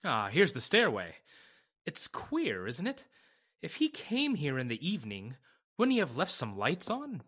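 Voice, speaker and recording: Practical, skeptical male lawyer, played by a male actor, forceful bright tone, lively intonation, vintage radio style. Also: a little high, unhurried, slightly rough, exaggerated voice. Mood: matter-of-fact. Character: brisk, level-headed male attorney, practical and skeptical. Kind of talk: old-time radio drama acting.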